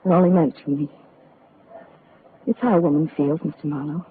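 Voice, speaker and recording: A woman's voice: sits low, steady tone, a little fast, close to an everyday voice. An actress playing a heartbroken woman clinging to her lost love, pleading and emotional in 1940s radio drama style. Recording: tinny old radio broadcast with faint hiss.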